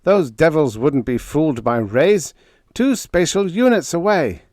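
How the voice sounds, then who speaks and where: A man's voice: a little high, expressive, a little slow, a little mellow, no room sound, clean studio sound, pitched above the performer's own voice. A man voicing a blunt, argumentative spaceship engineer with an emphatic manner in an audiobook story. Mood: indignant. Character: blunt, lanky male ship's engineer, argumentative. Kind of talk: dramatised audiobook character dialogue.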